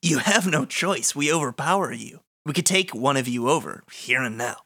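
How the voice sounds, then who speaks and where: Casting: arrogant darkness entity for a game script, male reader, forceful mid-range voice, formal and coldly threatening. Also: medium pitch, lively intonation, normal speed. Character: menacing, arrogant spirit of darkness, coldly commanding. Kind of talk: read-aloud of a video game script.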